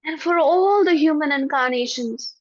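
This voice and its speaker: A woman leading a guided forgiveness meditation in a soft, prayerful voice with slow repetitions. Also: high-pitched, dry recording. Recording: dull lo-fi, dry.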